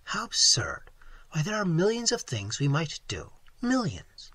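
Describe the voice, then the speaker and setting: A male reader voicing a plucky young woman stenographer, speaking softly and low. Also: wildly swooping pitch, moderate pace, breathy edge, dry recording, heavily acted. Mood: amused. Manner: soft, low delivery.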